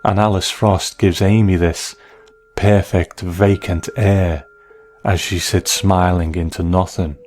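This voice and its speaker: A man giving measured, analytical commentary as the host of a review of classic television. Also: sits low, little pitch movement, darkish tone.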